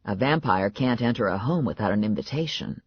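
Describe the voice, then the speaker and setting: A woman's voice: lively intonation, brisk, slightly rough, no echo, dull audio, exaggerated voice. A woman voicing a laid-back, wry, self-assured man with a dry, casual manner in an audiobook. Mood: calm. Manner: dry, casual, low-key.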